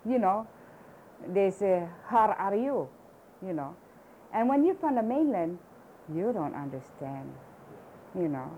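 A woman's voice: medium pitch, big pitch swings, measured pace, long pauses, dark tone, slight background noise, low-quality recording. An older woman from Hawaii, chatting in an interview and peppering her talk with "you know" and "you see.". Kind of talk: casual conversation.

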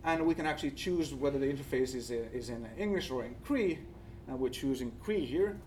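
A man with a Finnish accent gives an academic presentation, speaking haltingly and searching for words. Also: mid-range voice, lively intonation, a little mellow. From Finland. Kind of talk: conference presentation with slides. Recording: distant mic, faint background noise.

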